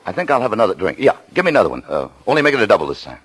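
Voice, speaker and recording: Low-voiced, panicky man on the run. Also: big pitch swings, rapid-fire, a bit bright, slightly rough, heavily acted. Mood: flustered, desperate. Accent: American. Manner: nervous, stammering, confessional. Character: panicky, down-on-his-luck husband on the run, narrating his crime. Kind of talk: mid-century American radio thriller acting. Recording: vintage radio recording with music behind.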